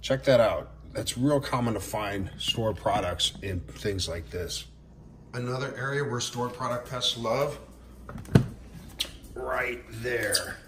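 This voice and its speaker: A man's voice: medium pitch, slow pace, echoey room, noisy background. Folksy man giving pest-control tips. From Florida. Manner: friendly, plainspoken, folksy. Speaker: pest control business owner. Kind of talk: casual how-to tips to camera. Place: home kitchen, indoors. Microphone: camera or phone at arm's length.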